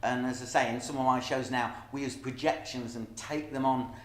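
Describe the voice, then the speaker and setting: A man's voice: medium pitch, steady tone, moderate pace. A middle-aged man telling stories emphatically in a casual interview about his stage work. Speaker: pantomime dame performer. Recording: echoey room.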